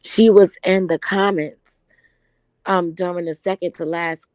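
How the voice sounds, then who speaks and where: A hoarse woman calling in to a livestream from her phone, chatty and gossipy. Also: on the low side, steady tone, unhurried, a little mellow, no echo, studio quality. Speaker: caller on a livestream. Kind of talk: casual chat.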